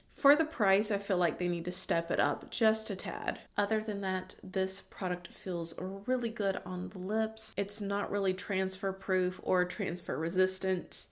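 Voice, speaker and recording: A woman with a stuffy, congested voice, talking through quick beauty product reviews. She is chatty and opinionated and drops casual slang as she goes. Her voice sits at an ordinary middle pitch for a woman. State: congested from a cold. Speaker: beauty vlogger.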